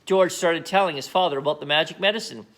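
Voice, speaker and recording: A man reading a children's book aloud as an expressive narrator. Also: fairly high pitch, quick pace. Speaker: storybook reader.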